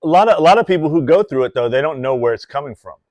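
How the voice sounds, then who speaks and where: Relaxed male podcast host, dry sound. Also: fairly high pitch, very fast, no room sound. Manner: asks questions in a relaxed way. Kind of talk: casual chat.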